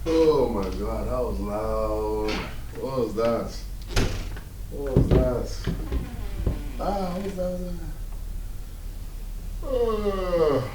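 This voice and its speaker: A man's voice: high voice, big pitch swings, moderate pace, echoey room, heavy background noise. An actor with a Nigerian accent playing a panicky, indignant man in a comic screen drama, emphatic and repetitive. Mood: groggy, weary.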